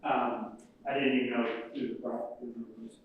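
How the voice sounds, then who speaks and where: A man, a city official, explains a matter to a municipal board in a halting, step-by-step way with many fillers. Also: medium pitch, flat delivery, narrow, tinny audio. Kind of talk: meeting report. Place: municipal meeting room. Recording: very echoey room, distant mic, faint hiss.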